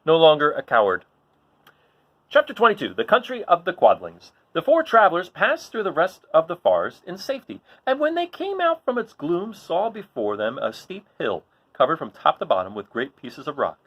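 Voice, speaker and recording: A man reading a children's story aloud in an expressive style, as the host of a read-along. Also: on the high side, moderate pace, dull audio.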